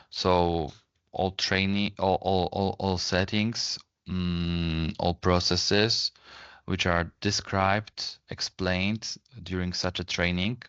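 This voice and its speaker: A man explaining things in a webinar as a company presenter, in a halting way, often repeating and rephrasing his words. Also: sits low, a bit bright, smooth, dry recording, muffled recording.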